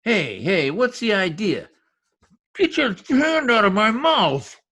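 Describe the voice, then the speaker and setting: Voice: medium pitch, very animated, slow, a bit bright, heavily acted. A performer in a 1940s-style radio comedy-mystery voicing a shady, wisecracking informant with slangy, ungrammatical talk. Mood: brash, insistent. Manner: streetwise slangy talk with bad grammar. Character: shady, wisecracking lowlife gangland informant nicknamed Piggy. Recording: husky-sounding home recording over video call.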